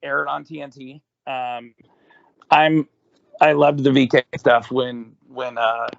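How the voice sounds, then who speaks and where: A man co-hosting a casual wrestling podcast, raspy and hoarse, rambling with lots of ums and restarts. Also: medium pitch, fairly even intonation, takes it slowly, dull audio, slight background noise. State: hoarse from smoking. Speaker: podcast co-host.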